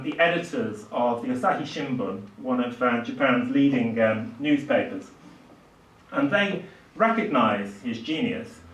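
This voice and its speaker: An older man giving a public literature lecture, speaking haltingly with emphatic swells. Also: mid-range voice, fairly bright tone. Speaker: lecturer and author introducing a book. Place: small indoor lecture room at a podium. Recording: distant, echoey small lecture room.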